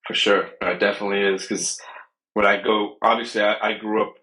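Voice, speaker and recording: Bright-voiced low-ish male podcast host. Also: little pitch movement, a little fast, rough recording. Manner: brief prompts, affirming replies. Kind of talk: casual interview prompting.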